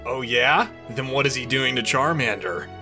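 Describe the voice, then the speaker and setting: A man's voice: medium pitch, moderate pace, sharp tone, faint music behind. A man playing a blunt, knowing young cartoon sidekick who needles his friend in a matter-of-fact way.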